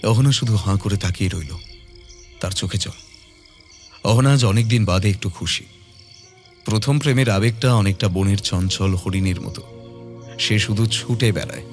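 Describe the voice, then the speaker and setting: A male storyteller narrates scripted horror fiction with dramatic delivery. His voice is fairly low, and music plays clearly behind him.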